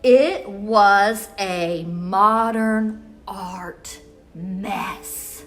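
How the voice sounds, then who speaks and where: A woman reading a picture book aloud to children as a storyteller, expressive and exclamatory, with dramatic emphasis on key words. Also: mid-range voice, drawn-out words, recorded from a distance, faint music behind. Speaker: children's storyteller and event host. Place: University museum gallery.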